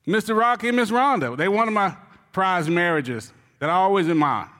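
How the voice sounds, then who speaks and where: A man preaching a sermon, telling a comic story with shouted cries and playful asides to the congregation. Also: high voice, expressive, a little fast. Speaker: pastor. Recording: long reverb of a large hall.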